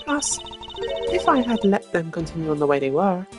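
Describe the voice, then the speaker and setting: A gloating villainess from a video game, acted out by a woman, with a lively, swooping delivery. She taunts theatrically and draws out her words as she revels in being a thief and killer. Game music plays behind her. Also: lowish voice. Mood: coldly confessing. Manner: mocking, theatrical, drawn-out taunting. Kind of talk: game character voice acting.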